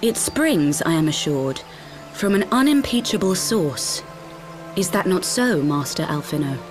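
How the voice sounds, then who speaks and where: An actress playing a serene, dignified elder leader in a fantasy game, speaking in a formal, measured, gracious way. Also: female voice, fairly low pitch, big pitch swings, music in the background. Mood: solemn, stately. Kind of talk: fantasy video game cutscene acting.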